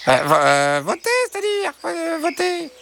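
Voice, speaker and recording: Woman as a very high, creaky, flustered hen. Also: normal speed, a bit bright, slight background noise, full character voice. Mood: confused. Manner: dithering, stammering, colloquial. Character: flustered, wary hens debating in a henhouse.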